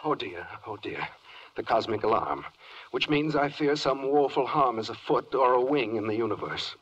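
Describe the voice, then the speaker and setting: An actor playing a flustered, fretful, fussy official in a 1940s radio comedy, dithering theatrically in rhymed verse. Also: male voice, mid-range voice, animated, normal speed, a bit bright, husky. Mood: fretful musing. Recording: dull, lo-fi 1940s radio recording.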